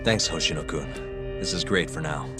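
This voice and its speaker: An actor playing a composed, wry lawyer turned detective in an English game dub. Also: male voice, extremely low pitch, moderate pace, loud music behind the voice. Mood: relaxed. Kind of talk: English dub cutscene acting.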